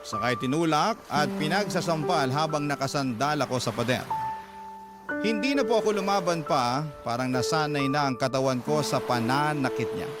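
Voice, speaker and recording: An actor playing an abused Filipino son grown up, earnestly narrating a painful past as a letter in a radio drama. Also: male voice, medium pitch, close to an everyday voice. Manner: sorrowful, earnest. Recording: prominent music under the voice.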